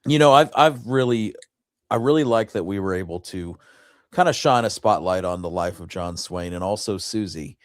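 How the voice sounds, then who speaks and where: A man with a lowish voice hosts a relaxed-format chat show. He speaks informally and conversationally, recorded close with no room sound. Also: moderate pace, dry recording. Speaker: show host. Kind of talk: casual chat.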